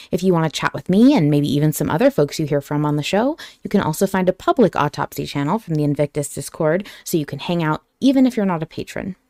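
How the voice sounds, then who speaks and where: A woman hosting a fan podcast, chatting casually in an animated, joking and sweary manner. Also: sits low. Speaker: podcast host.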